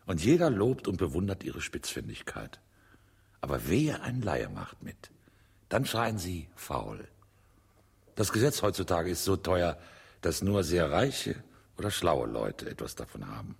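A sly, cynical defendant in a radio drama. His deep voice is rough with gravel and swings widely in pitch as he makes sardonic, argumentative points. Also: sing-song, measured pace, exaggerated voice. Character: cunning, cynical acquitted defendant, smugly self-justifying.

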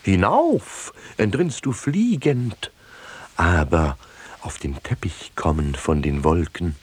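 An actor playing a footsore, grumbling master in a comic radio drama, with a rough voice and expansive, emphatic delivery. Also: male voice, deep voice, sing-song, takes it slowly, darkish tone, full character voice. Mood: weary complaint. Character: footsore, grumbling master back from a journey to the heavens.

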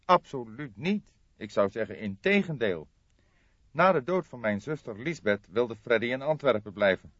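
Man voicing stern, formal gentleman, pitched high. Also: natural voice. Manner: formal, emphatic, solemn. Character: stern, gloomy, formal middle-aged male friend of the deceased. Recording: dull, roomy audiobook recording.

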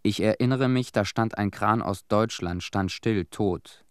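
A man acting a disillusioned young war-weary cleanup veteran in a radio drama. His voice sits low and is recorded dry and close. He gives his testimony soberly, in short clipped sentences. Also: a little slow, clear, resonant tone, dry recording, close to an everyday voice. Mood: bleak, resigned. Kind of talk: radio play monologue, testimony.

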